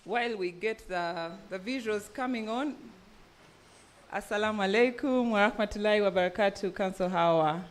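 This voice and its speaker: A woman's voice: mid-range voice, normal speed. A woman with a Ugandan accent, formally introducing panelists as a conference moderator. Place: event venue, speaking live to an audience.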